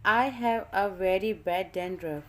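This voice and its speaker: A woman teaching English vocabulary, reading each simple sentence twice for learners to repeat. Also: medium pitch. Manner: reads each sentence twice, measured and deliberate. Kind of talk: slow repeat-after-me vocabulary drill.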